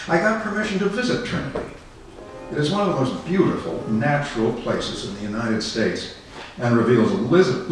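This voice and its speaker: A man reads a memoir aloud in a very echoey room, picked up from far off. His voice is on the low side and moves expressively through measured, deliberate phrases. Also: fairly bright tone. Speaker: reader of a memoir at a public program. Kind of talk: dramatic live reading of a written memoir. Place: indoor arts center venue. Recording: roomy echoing hall, distant mic, faint music.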